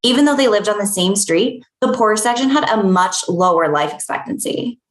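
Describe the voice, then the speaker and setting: A woman's voice: mid-range voice, expressive, normal speed, lightly acted. A woman plays an earnest academic friend in a comedy read-through, recorded in an echoey room. She is matter-of-fact and politely firm. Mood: explanatory. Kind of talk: comedy script table read.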